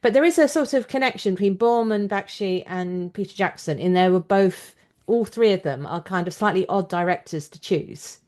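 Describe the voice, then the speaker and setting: A woman's voice: mid-range voice, normal speed, a little mellow. A woman hosting a conversational podcast interview, putting thoughtful, loosely phrased points and questions to her guest.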